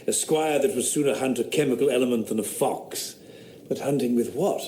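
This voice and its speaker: A man's voice: mid-range voice, a little fast, mellow, echoey room, a little hiss or hum, close to an everyday voice. A man playing a wry, mocking philosopher. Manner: dry, teasing, sardonic. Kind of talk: radio drama acting.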